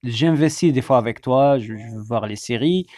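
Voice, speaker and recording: A man's voice: medium pitch, normal speed, darkish tone, dry recording. A man co-hosting a casual chat, talking hesitantly with many fillers as he lists his points. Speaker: co-host.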